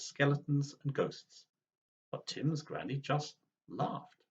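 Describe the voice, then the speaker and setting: Animated man reading a children's story. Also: a little high, dull audio. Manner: animated, dramatic.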